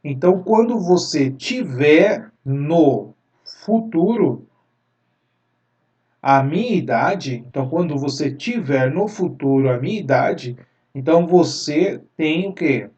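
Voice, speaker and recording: A man's voice: a little high, lively intonation, a little mellow, far from the mic, lo-fi sound. A man teaching a math lesson step by step, repeating each idea patiently and pointing things out as he goes. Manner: slow, repetitive explaining, drawing-pointing asides.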